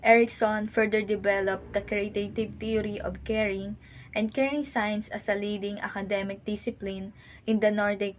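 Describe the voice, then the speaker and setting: Young woman reading out her class report, normal pitch, faint noise behind. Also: poor audio. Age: young adult. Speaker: student presenter. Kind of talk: reading a scripted presentation.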